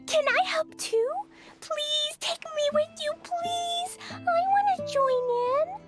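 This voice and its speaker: A woman voicing an excitable, sweet, innocent little girl in a video game. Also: very high-pitched, takes it slowly, music in the background. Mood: eager, pleading.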